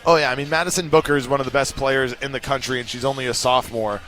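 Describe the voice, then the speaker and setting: A man working as a play-by-play commentator, breaking down a basketball game in a measured, analytical way. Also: medium pitch, steady tone, a bit bright, soft background music. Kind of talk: sports analysis talk.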